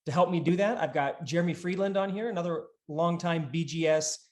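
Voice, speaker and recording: Higher-voiced man in an echoey room. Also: a little fast. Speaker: podcast host. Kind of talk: conversational business podcast talk. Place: small home office, indoors. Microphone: video call setup. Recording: roomy, echoey home office.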